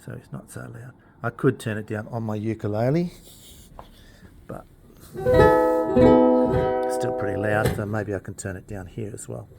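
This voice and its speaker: A man talking through equipment in an informal tutorial, explaining things in a plain-spoken, unhurried way. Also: lowish voice, fairly even intonation, vocal fry, over loud music. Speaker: instructor demonstrating PA equipment.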